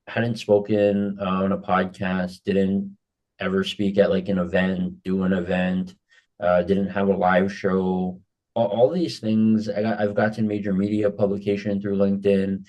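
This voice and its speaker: A man's voice: fairly low pitch, warm tone. A man from Hawaii, a guest on a business podcast, talking casually and often restarting his sentences. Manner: conversational, repeats words when restarting. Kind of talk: casual interview chat.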